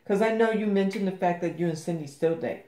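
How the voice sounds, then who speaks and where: A woman hosting an interview, prompting her guest with calm short affirmations and follow-up questions. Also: on the low side, fast. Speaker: show host. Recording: roomy echo.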